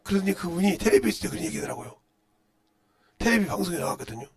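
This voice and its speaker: A middle-aged man preaching as a pastor in a blunt, scolding manner, firing rhetorical questions and swinging between muttered asides and loud outbursts. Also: big pitch swings, rapid-fire. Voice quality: loud, booming voice. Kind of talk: impassioned Korean-language sermon with rhetorical questions.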